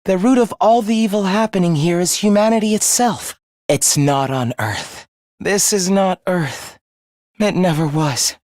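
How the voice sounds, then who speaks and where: A man's voice: high-pitched, no room sound, clean studio sound. An actor voicing a scornful, zealous young male video game villain who despises humans, with a theatrical, contemptuous delivery. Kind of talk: video game character voice acting.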